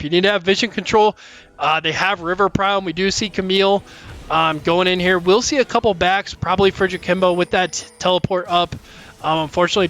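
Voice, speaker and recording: A young man casting a live college esports match, calling plays quickly, with many fillers and sudden jumps in pitch at big plays. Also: fairly bright tone. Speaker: esports caster. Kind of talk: live play-by-play commentary. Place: college esports broadcast booth.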